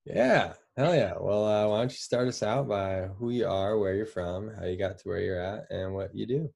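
Laid-back podcast host guy, lowish voice, loose and halting. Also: vocal fry. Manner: relaxed, loose, halting with fillers. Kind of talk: casual interview talk.

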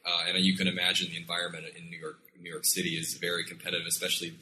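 A man's voice: sits low, monotone, very fast, sharp tone, a little hiss or hum, rough recording. A man from Oklahoma interviewing a guest, casual and affirming, with plenty of fillers. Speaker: interviewer, host.

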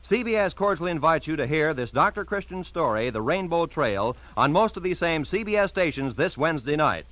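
Male American vintage network announcer, bright, polished scripted delivery, very dry sound. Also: on the high side, big pitch swings, a little fast, hardly any pauses, dry recording, poor audio. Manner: polished, formal. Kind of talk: 1940s-50s radio announcing, scripted.

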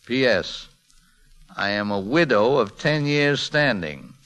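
An actor playing a shy, flustered middle-aged English gentleman posing as a valet, with a hesitant, stammering classic radio drama delivery. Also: mid-range voice, expressive, slow, clear, resonant tone. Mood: awkward, sheepish. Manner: hesitant, stammering, indignant when challenged.

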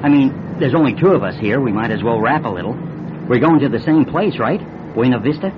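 A man's voice: expressive, brisk, lightly acted. A man playing a brash, friendly young race car driver with breezy, slangy talk in a classic radio drama. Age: young adult. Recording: noisy muffled old radio recording. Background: bus engine rumble.

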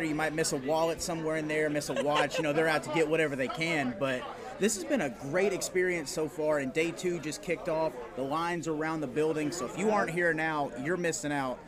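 Higher-voiced enthusiastic man hosting amid a crowd. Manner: enthusiastic, chatty. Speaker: podcast host and interviewer. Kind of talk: casual live interview. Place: convention booth. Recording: busy convention crowd behind voice. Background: crowd chatter.

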